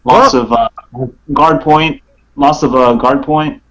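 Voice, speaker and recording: A man's voice: medium pitch, unhurried, fairly bright tone, rough recording. A man chatting casually about game mechanics as a podcast guest, tentative and unsure in his manner. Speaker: guest player on a gaming podcast. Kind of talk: casual chat, game analysis.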